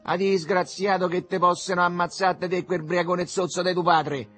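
A man voicing a furious, foul-mouthed Roman slum mother in a storybook, with a shrill, cutting shriek, drawn-out insults and a Roman accent. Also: very animated, husky, soft background music, full character voice. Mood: angry shouting. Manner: shouting, insulting, drawn-out cries. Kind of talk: dramatic audiobook character reading.

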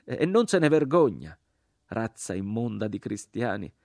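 A man's voice: mid-range voice, lively intonation, a little slow, breathy edge, no room sound, clean studio sound, pitched above the performer's own voice. A man voicing a bitter, scornful crucified man in a dramatic literary reading, hurling taunting, declamatory questions. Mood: enraged, mocking. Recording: voice over music.

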